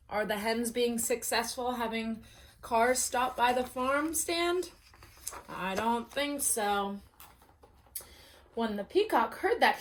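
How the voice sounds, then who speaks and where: A woman storyteller reading aloud to children in an expressive, dramatic way, as at bedtime story time. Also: fairly high pitch.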